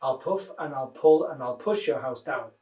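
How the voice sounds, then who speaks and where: A man voicing a big bad hungry wolf in a children's storybook, greedy and menacing, with a deep growl. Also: expressive, normal speed, a little mellow, lightly acted. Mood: angry, threatening. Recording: roomy echo, distant microphone.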